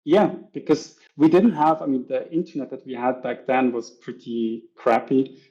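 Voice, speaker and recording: A man's voice: mid-range voice, normal speed, dark tone, dull audio. A man from Switzerland with a Swiss German accent, chatting as a podcast guest and acting out little scenes from his stories. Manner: chatty, acts out voices in stories. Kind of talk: casual podcast interview.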